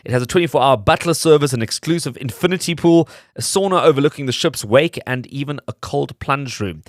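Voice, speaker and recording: A man's voice: medium pitch, a little fast, no echo, clean studio sound. A man hosting a radio show, narrating from a script to his listeners. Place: dry studio.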